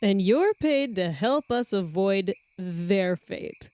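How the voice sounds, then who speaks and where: A woman's voice: mid-range voice, expressive, takes it slowly, mellow, slight background noise. A woman playing a suspicious, sarcastic female archaeologist in a tabletop game, with a pointed manner. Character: sardonic, suspicious female archaeologist adventurer, bristly and insistent.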